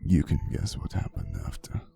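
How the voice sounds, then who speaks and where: A man playing a centuries-old romantic vampire boyfriend in an intimate ASMR roleplay monologue, speaking softly and hesitantly, teasing and wistful with faint menace. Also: close to an everyday voice. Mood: somber. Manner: soft, slow, hesitant storytelling with teasing asides. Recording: soft music behind the voice. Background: quiet instrumental music.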